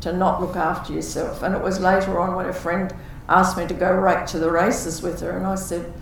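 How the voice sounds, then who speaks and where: A woman's voice: on the low side, level tone, normal speed, vocal fry. An older woman, a former carer, talking earnestly and reflectively about looking after her husband, with restarts and quoted dialogue. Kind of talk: reflective conversation. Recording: echoey room, far from the mic, faint noise.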